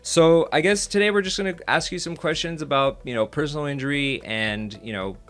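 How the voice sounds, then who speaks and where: A man's voice: a little high, brisk, fairly bright tone, faint music behind. A man co-hosting a casual interview, asking rambling, hesitant questions full of "you know" and "like". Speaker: podcast co-host.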